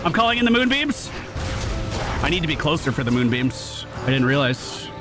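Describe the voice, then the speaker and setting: A man's voice: fairly high pitch, sing-song, normal speed. A man giving casual, chatty commentary while he plays a video game, now and then swearing or laughing. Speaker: gaming video creator. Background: game music.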